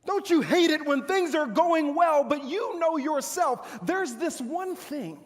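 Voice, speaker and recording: Man acting a proud, high-pitched warrior, echoing hall. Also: lively intonation. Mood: bitter, frustrated. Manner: pompous, declamatory, dramatic pauses. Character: proud, boastful male army commander of ancient Israel's era, bitter. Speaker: pastor performing a monologue. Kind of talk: theatrical stage monologue to an audience. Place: large church hall stage. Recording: echoey hall with long reverb.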